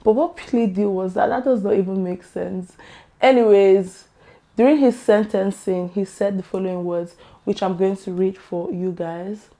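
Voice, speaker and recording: A woman vlogger with a hoarse, worn-out voice, talking casually and with strong opinions to her camera. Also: mid-range voice, fairly even intonation, moderate pace, dark tone. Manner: chatty, opinionated, laughs often. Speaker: YouTube vlogger.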